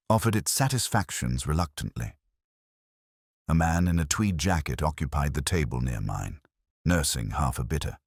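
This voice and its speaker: Very deep-voiced man narrating detective fiction. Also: no echo, clean studio sound. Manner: measured, reflective, formal diction. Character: brooding, world-weary male police inspector narrating. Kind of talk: literary first-person scripted narration.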